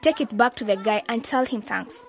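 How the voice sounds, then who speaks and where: A woman acting a bossy, focused young aspiring journalist in a radio drama, brisk and scolding. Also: on the high side, vocal fry. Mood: bossy, decisive.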